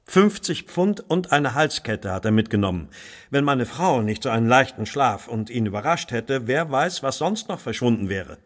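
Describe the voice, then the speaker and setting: An actor playing an agitated, well-to-do married gentleman in a German radio drama, speaking formally and fretfully. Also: medium pitch, very animated, moderate pace.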